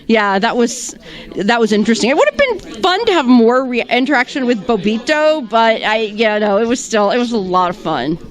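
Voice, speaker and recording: A woman actress answering red carpet questions, hesitant, with many pauses and restarts. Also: fairly high pitch, expressive.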